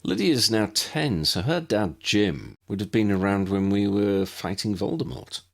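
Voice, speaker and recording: A man's voice: fairly low pitch, unhurried, pitched above the performer's own voice. A man reading the part of an earnest, reasoning young man who chides mildly, in an audiobook. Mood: speculating.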